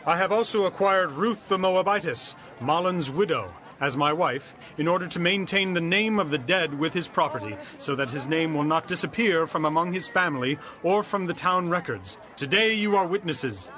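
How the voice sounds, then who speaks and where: A man's voice: on the high side, animated, normal speed, few pauses, bright, forceful tone. An actor playing a kindly, upright male landowner in dramatized scripture, earnest, declamatory and authoritative. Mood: proclaiming.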